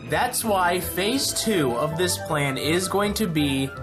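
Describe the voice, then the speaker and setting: Excited, rambling host over prominent music. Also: medium pitch, slow. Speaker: channel host and narrator. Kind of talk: casual announcement vlog. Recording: music behind the voice.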